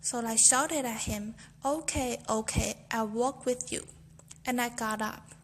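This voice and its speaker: A woman's voice: a little high, unhurried, slight background noise. A young woman, a university student, reciting a memorized speech in a careful, earnest storytelling way. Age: young adult.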